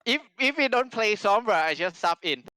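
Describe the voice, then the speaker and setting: A man, a non-native English speaker, chatting casually as an esports player guest in simple, halting phrases. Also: high-pitched, animated, bright, forceful tone. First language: not English. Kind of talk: casual chat.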